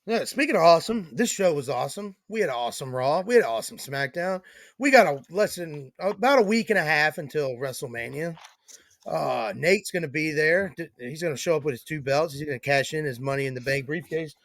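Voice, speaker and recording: Man, podcast host, gravelly, dry close sound, loose casual talk. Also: on the high side, expressive, fairly quick, a bit bright, dry recording. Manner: laid-back, loose, slangy, lots of fillers. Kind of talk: casual chat.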